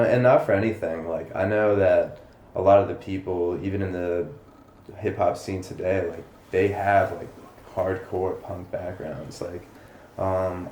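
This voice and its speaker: A man's voice: low-pitched, a little mellow. A man interviewing casually, with a laid-back, slangy way of talking. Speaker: interviewer for a music channel. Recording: roomy echo, faint background noise.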